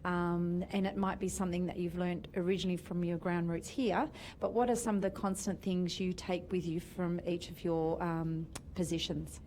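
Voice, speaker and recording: Woman in audience, low voice, mellow tone, hesitant, light noise. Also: little pitch movement, normal speed, hardly any pauses. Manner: hesitant, fillers. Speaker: audience member asking a question.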